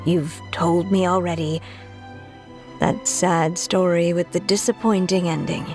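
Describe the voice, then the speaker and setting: Gentle young mother, slow and creaky. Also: lowish voice, level tone, soft background music. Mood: earnest, hopeful. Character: gentle, frail, loving young mother-creator, quietly hopeful. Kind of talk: dramatic storybook anime game acting.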